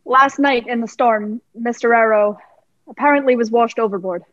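A grave gentleman squire played by a woman speaks in a somewhat high, dismayed voice. The homemade radio play recording sounds dull and lo-fi. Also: moderate pace, close to an everyday voice. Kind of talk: amateur radio play acting.

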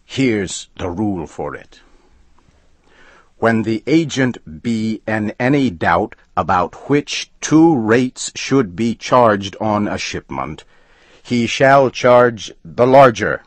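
A man's voice: on the low side, expressive, slow, no echo, pitched above the performer's own voice. A man voicing a stubborn, rule-bound male express agent who talks in a folksy dialect.

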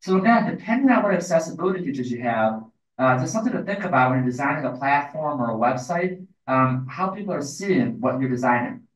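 A man giving a quick, plain live demonstration of software, with fillers between phrases. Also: medium pitch, animated. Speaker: guest demonstrator. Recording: roomy, distant from the mic.

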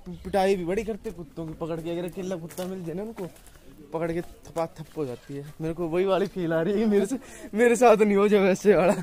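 A man's voice: high voice, steady tone, brisk, darkish tone. A man in his twenties chatting casually as a vlogger, recorded outdoors. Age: 20s. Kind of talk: casual vlog chat. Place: outdoors by a lake. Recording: music behind the voice. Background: music.

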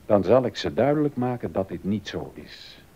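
An actor playing a stern, exacting baroque composer and organist in a docudrama, emphatic and easily indignant. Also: male voice, big pitch swings, moderate pace, dark tone, slightly rough. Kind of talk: docudrama radio acting. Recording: dull audio with rumbling noise.

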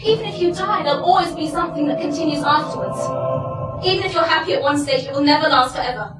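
An insistent, fatalistic young woman played by an actress on stage, explaining emphatically in a high voice. The room echoes around her. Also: fast talker, loud music behind the voice, close to an everyday voice. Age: young adult. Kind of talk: theatrical stage acting. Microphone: distant camera microphone. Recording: roomy stage, distant mic, background sound.

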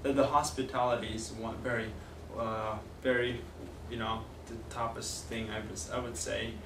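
Young Kazakh man, mid pitch, teaching language on video; halting, filler-heavy delivery, recorded by a distant camera in a noisy, echoing classroom. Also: little pitch movement. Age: young adult. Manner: hesitant, many fillers and restarts. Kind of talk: casual video lesson. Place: classroom with whiteboard, indoors. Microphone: far camera. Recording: echoey room, distant mic, noisy.

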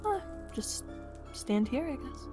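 A woman's voice: sits high, sing-song, slow, full character voice. A woman playing a sly, smug witch in an improvised game roleplay, coy and hesitant, drawing out her teasing phrases. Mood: teasing, doubtful. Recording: music behind the voice. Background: background music.

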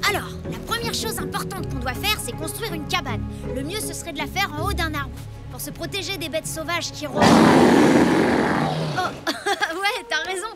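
A woman voicing an adventurous, stubborn young boy in a cartoon, talking with lively eagerness. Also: extremely high pitch. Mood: cheerful. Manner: lively, eager, quick to protest. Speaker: child hero. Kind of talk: French cartoon voice acting. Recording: music playing loudly underneath.

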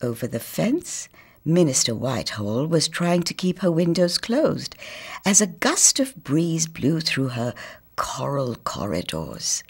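A woman in her sixties with a Trinidadian accent, reading a children's story in a deliberate, theatrical manner. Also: deep voice, sing-song, slightly rough.

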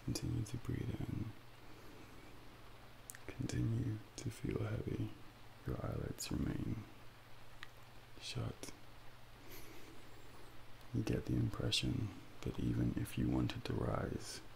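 A young man doing a soft, breathy ASMR role play as a calm Devil, soothingly guiding a listener into sleep with deliberate pauses, close to the mic. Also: on the low side, a little hiss or hum, low-quality recording. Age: young adult. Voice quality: breathy, half-whispered. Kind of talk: ASMR soft speaking, guided sleep hypnosis. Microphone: close binaural microphone.

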